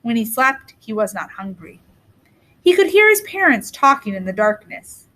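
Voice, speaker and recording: A woman narrating a scripted storybook tale as a reader, with deliberate, expressive phrasing. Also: fairly high pitch.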